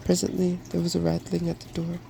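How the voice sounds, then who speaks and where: A soft-spoken man reading a fairy tale aloud in ASMR style, in a gentle, measured storytelling manner. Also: high-pitched, monotone, creaky, slight background noise. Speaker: storybook reader.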